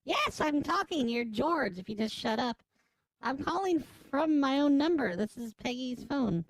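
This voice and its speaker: A woman's voice: high-pitched, lively intonation, a little slow, no room sound. A woman making a prank call, brash and profane, bickering back at every line. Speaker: prank caller.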